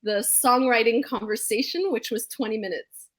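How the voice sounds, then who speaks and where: An upbeat woman singer talks through her story on a video call, now and then breaking into song. Her voice sits a little high. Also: moderate pace. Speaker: guest singer. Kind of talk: casual video-call interview.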